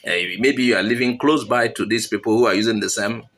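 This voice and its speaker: A man's voice: mid-range voice, steady tone, fast, a bit bright. A man, hosting a channel and giving earnest commentary straight to his viewers. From Nigeria. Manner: earnest, appealing directly to viewers. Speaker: channel host.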